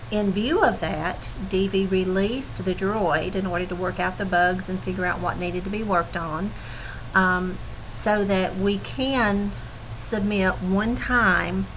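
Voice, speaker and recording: A woman, a little raspy from a cold, giving an earnest live update to her team, full of ums and restarts. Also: medium pitch, fairly bright tone, a little hiss or hum, low-quality recording. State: recovering from a cold, slightly raspy.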